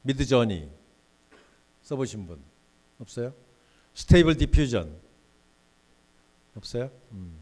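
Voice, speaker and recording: Older guy in his 60s lecturing students in a uni hall, talks casually and asks the room stuff, warm low-toned voice. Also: very animated, slow, long pauses. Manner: chatty, questions the audience directly. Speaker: guest lecturer. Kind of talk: university lecture.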